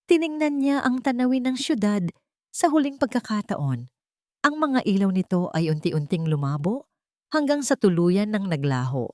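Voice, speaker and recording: A woman with a Filipino accent reading a scripted romance story in a measured, dramatic way with short pauses between phrases. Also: mid-range voice, a little mellow, dry recording, clean studio sound. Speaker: story narrator.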